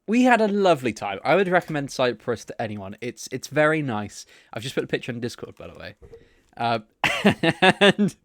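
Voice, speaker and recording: A man's voice: mid-range voice, sing-song, normal speed, fairly bright tone, no echo, clean studio sound. A young man co-hosting a podcast, telling rambling holiday stories and laughing along as he talks. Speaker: podcast co-host. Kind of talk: casual storytelling chat.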